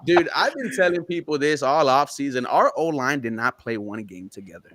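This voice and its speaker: A man's voice: mid-range voice, no room sound. Fired-up male football fan, dry mic. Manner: excited, fast-flowing football analysis. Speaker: podcast guest. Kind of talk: casual sports podcast chat.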